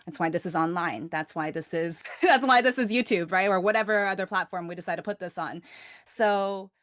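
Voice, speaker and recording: A woman's voice: on the low side, a little fast, fairly bright tone, no echo. Earnest woman on a dry mic. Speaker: host. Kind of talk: casual conversation.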